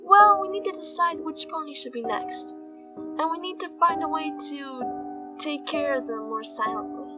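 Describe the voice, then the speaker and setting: A woman's voice: high voice, moderate pace, far from the mic, over loud music, lightly acted. A woman voicing a young, bossy, cold-blooded pony filly in an amateur cartoon audio drama. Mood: businesslike.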